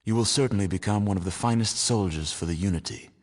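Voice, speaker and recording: An actor playing a solemn, fatalistic narrator of a ruined world in a video game, measured and ominous. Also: male voice, lowish voice, close to an everyday voice. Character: grave, fatalistic male storyteller of a ruined world.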